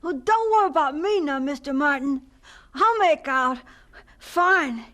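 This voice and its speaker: A woman's voice: high-pitched, animated, unhurried, fairly bright tone. A woman playing a polite, caring orphan schoolboy in a radio drama, earnest and folksy. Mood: stoic, cheerful.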